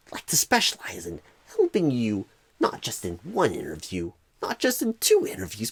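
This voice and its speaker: A man voicing a clueless, rambling job recruiter in an ASMR roleplay, with a breathy, husky voice and halting phrasing full of odd pauses and stresses. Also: on the high side, darkish tone, full character voice. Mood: salesy, earnest.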